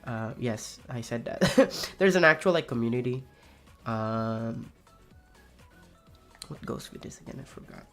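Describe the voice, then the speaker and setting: Raspy gravelly young man, rambling. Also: mid-range voice, lively intonation, unhurried, pauses often, faint music behind. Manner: rambling, soft-spoken, many fillers and restarts. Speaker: streamer. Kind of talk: casual chat while playing a game.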